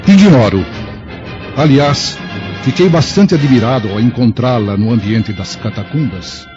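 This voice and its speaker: An actor playing a stern, obedient Roman centurion with a Brazilian accent, speaking formally in a radio play. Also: male voice, mid-range voice, measured pace, natural voice. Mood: puzzled, stern. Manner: formal, theatrical. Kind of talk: dramatized audiobook radio play. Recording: dull lo-fi audio, music behind.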